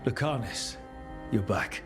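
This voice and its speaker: A man's voice: lowish voice, expressive, slow pace, over music. A man playing an earnest, resolute young leader of heroes in a fantasy game. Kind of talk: dramatic video game acting.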